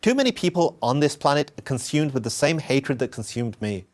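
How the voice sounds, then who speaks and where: Man, scripted personal narration, earnest and measured, mid pitch, animated intonation. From England. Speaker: narrator telling his own story.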